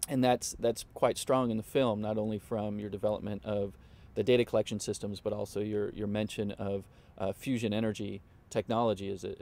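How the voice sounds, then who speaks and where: Low, gravelly-edged man, thoughtful professor. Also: a little mellow. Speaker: professor reviewing a film. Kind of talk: conversational interview, reflective review.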